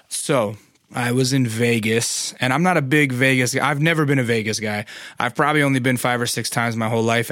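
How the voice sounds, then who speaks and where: A man hosting his podcast, his voice raspy and hoarse from losing it, telling stories in a laid-back, rambling, profane way. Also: mid-range voice, a bit bright, no echo, studio quality. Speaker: podcast host. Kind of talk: casual monologue.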